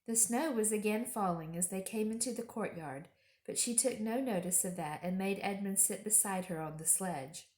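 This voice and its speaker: Female storyteller for children's read-aloud: mid-range voice, measured, expressive delivery, noticeably roomy recording. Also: medium pitch. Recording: roomy home echo.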